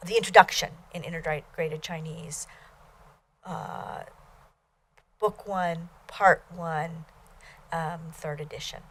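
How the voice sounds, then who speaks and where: An older woman with a fairly high voice, giving a recorded language lecture in a small studio. She explains patiently and measuredly, with frequent ums and self-corrections. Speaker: Chinese language instructor. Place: small studio with plain backdrop.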